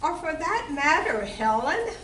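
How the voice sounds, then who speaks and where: A woman playing a brisk, saucy barmaid in an amateur stage reading, projecting her lines deliberately. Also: high voice, sing-song, bright, forceful tone, exaggerated voice. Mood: brisk, inquiring. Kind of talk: amateur community theatre reading. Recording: roomy, distant mic with background noise.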